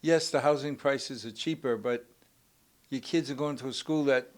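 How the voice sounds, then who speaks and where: A man's voice: medium pitch, normal speed. A man whose first language is Portuguese, hosting a casual interview in an easygoing, conversational way. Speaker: show host.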